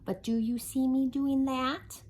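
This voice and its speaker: A woman's voice: high voice, fairly even intonation, measured pace, darkish tone, voice pushed up. A woman voicing an indignant little child in a timeout chair, protesting in sing-song rhyme.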